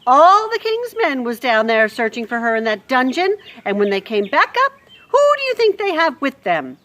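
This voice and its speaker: A woman voicing a tall, sharp-tongued housekeeper who scolds and declares loudly. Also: very high voice, big pitch swings, slow, voice pushed up, exaggerated voice. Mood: scornful.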